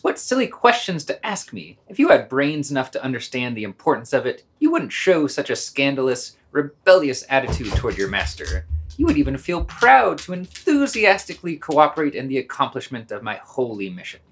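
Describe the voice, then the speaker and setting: A pompous, hypocritical scientist master, acted by a man in an audio play. He scolds with theatrical indignation, his pitch swinging up and down. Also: huge pitch swings, fairly quick, a bit bright. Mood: pompous, exasperated. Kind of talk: amateur radio play reading.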